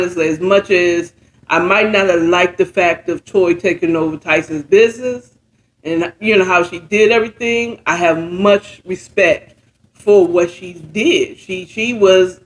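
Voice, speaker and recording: A man's voice: sits high, a little slow, poor audio. An American man retelling a story in a conversational, slangy way, checking in with the listener and slipping into characters' dialogue. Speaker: host retelling and reacting to a story. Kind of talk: informal storytelling recap with commentary.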